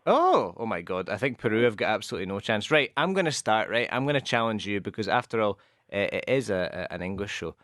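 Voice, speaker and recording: A man's voice: on the low side, expressive, quick pace, fairly bright tone, dry recording, clean studio sound. A man with a Glasgow accent co-hosting a radio show, patiently explaining English football expressions and slipping into Spanish now and then. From west of Scotland. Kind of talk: bilingual radio chat, explaining football idioms.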